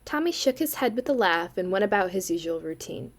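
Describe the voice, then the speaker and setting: Woman playing eerie young woman, sardonic, dry deliberate horror storytelling, medium pitch, audio drama. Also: very animated. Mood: ominous, measured. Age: young adult. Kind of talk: student audio drama acting, spooky storytelling.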